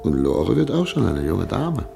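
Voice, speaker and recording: A very deep, gravelly German doctor in exile. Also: male voice, huge pitch swings, normal speed, exaggerated voice. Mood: dreamy. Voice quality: creaky. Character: German émigré doctor, hopeful, eager, planning ahead. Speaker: Albrecht, a doctor. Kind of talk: radio play acting. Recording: music playing behind. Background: dance music.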